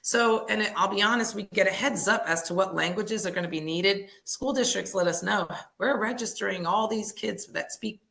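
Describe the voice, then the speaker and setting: A woman's voice: medium pitch, moderate pace, gravelly, few pauses, fairly bright tone. A middle-aged woman founder answering interview questions in an earnest, explanatory way with frequent restarts. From United States. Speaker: language services founder.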